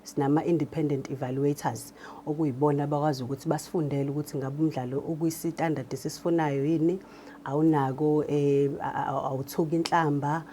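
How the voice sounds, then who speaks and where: A South African woman whose first language is Zulu gives explanatory answers as a producer. Her voice is notably low and soft-edged. A little room noise sits behind it. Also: steady tone, fast. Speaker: radio drama producer. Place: radio drama studio.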